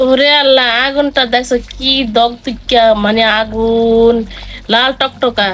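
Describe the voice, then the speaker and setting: A young woman talks through her cooking step by step. Her speech is informal and colloquial, recorded with clear ambient noise behind her. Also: a little high, steady tone, a little slow, fairly bright tone. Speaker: home cook and vlogger. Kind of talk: casual cooking narration. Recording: noisy ambient background.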